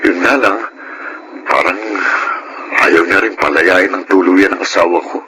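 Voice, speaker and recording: An actor playing an earnest, exasperated Filipino husband of thirty in a radio drama. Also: male voice, sits low, a little slow, background noise. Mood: weary, regretful. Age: 30s. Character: frustrated thirty-year-old husband wanting independence from in-laws.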